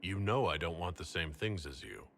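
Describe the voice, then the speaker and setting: An actor playing a cool-headed, blunt leader as the protagonist of a video game, speaking tersely. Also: male voice, deep voice, lively intonation, moderate pace, fairly bright tone, a little hiss or hum. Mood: cool, challenging.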